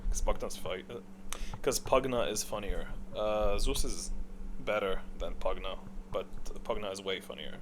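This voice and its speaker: A man's voice: on the low side, measured pace, background noise. A man giving casual, off-the-cuff commentary on a game tier list, bluntly opinionated, thinking aloud and repeating himself for emphasis.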